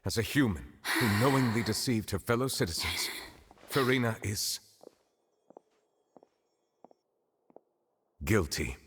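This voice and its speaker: An actor playing a stern, composed chief justice in a video game, speaking in formal, measured pronouncements over music. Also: male voice, lowish voice, expressive, fairly bright tone, slight background noise. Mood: solemn.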